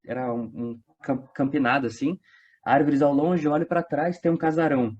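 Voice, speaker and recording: A man's voice: fairly high pitch, steady tone, moderate pace, darkish tone, husky. A man telling a story casually as an interview guest, slangy and conversational. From São Paulo state, Brazil. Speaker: interview guest, audio drama creator. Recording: dull lo-fi online interview audio.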